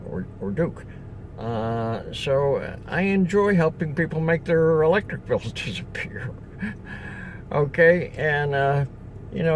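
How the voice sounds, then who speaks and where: A man's voice: medium pitch, background noise. An older man from upstate New York, introducing himself as a solar salesman in a rambling, chatty way, full of fillers and restarts. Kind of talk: casual self-introduction video.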